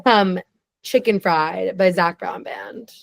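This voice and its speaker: A young woman guesting on a podcast, chatting eagerly in a low, raspy voice with plenty of vocal fry. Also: dry recording. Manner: chatty and enthusiastic, quick tangents. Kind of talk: casual chat.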